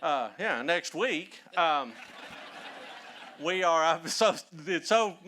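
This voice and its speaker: A man from north Texas with a Texan accent, a historian speaking as a guest and telling folksy stories with many pauses. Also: fairly high pitch, lively intonation, pushed and bright, slight background noise. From Texas, Dallas-Fort Worth area. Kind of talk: casual storytelling talk.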